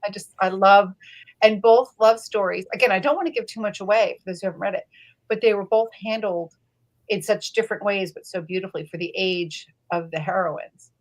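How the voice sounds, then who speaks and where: A woman's voice: medium pitch, steady tone, brisk, rough recording. A woman hosting a casual book club chat, effusive and fond of telling anecdotes. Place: live online video chat.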